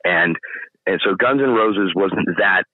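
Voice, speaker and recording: Deep-voiced man on a tinny line. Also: unhurried, no room sound. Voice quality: deep baritone speaking voice. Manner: thoughtful, explains at length with many restarts. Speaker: guest singer. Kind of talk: casual interview chat.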